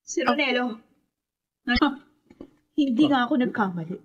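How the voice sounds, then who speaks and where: A high, husky voice full of cold accusation: a bitter, jilted young woman in a radio drama. She is intense and vengeful toward those who betrayed her. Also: lively intonation, measured pace, highs cut off.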